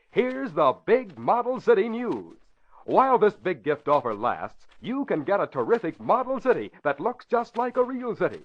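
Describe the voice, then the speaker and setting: Male vintage radio announcer, emphatic and excited, high and lively, on a dull old broadcast recording. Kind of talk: 1940s-50s radio announcing and advertising.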